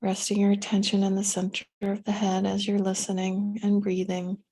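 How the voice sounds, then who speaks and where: A woman leading a guided meditation, giving gentle, unhurried instructions with pauses between phrases. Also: medium pitch, completely flat delivery.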